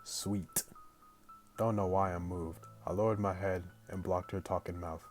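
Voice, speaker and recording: Male let's-player, deep voice, casual story reading with slangy reactions, faint music underneath. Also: unhurried. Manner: slangy, animated asides, breaks into laughter. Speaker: gaming video host reading a visual novel aloud.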